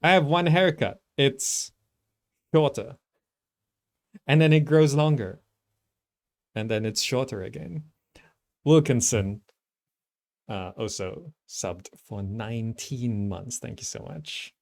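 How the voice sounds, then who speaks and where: A man's voice: medium pitch, lively intonation, slow, vocal fry, long pauses. A man giving casual live commentary as he streams a strategy game, thinking aloud as he plays. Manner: thinking aloud while playing.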